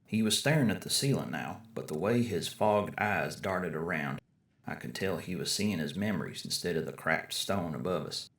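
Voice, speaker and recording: A man's voice: sits low, expressive, normal speed, fairly bright tone, breathy edge. A man performing as a storyteller, giving theatrical scripted narration.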